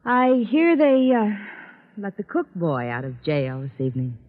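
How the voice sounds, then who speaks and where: A woman's voice: mid-range voice, big pitch swings, normal speed, natural voice. A woman playing a playful, nosy detective's girlfriend in an old radio drama, teasing and coy. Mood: teasing, amused. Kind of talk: old-time radio comedy-drama acting. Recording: tinny, phone-like old radio recording.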